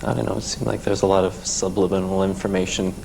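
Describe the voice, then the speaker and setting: A man in his twenties with a Canadian accent, a talk show guest telling his story haltingly, with many restarts. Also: deep voice, flat delivery, gravelly, noisy background. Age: 20s.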